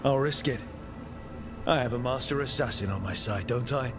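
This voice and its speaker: A man's voice: medium pitch, moderate pace, over music, close to an everyday voice. Man playing an earnest, resolute hero. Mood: earnest, reassuring. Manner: earnest, reassuring. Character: earnest, resolute, loyal hero pleading for a trapped companion. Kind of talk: video game character acting.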